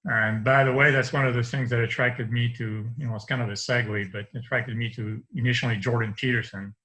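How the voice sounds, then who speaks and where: A man's voice: mid-range voice, fairly even intonation, a little fast, bright, forceful tone, rough recording. A man in his fifties with a light French accent, French his first language, talking casually as a guest on a video call from a home study, rambling with restarts and light laughs. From France. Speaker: interview guest. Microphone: video call webcam.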